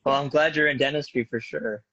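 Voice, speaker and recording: A man in his twenties hosts a student podcast with upbeat, friendly energy. He introduces guests warmly and asks questions in a loose, casual way. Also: mid-range voice, steady tone, fairly quick, lo-fi sound. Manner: upbeat, friendly, some filler restarts. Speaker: podcast co-host, dental student.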